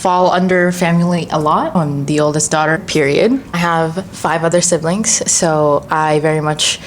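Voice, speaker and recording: A bubbly young woman co-hosts a casual podcast chat, laughing easily between her stories. Her voice is a bit lower than most, with a faint hiss underneath. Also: fairly even intonation, moderate pace. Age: 20s. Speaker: co-host.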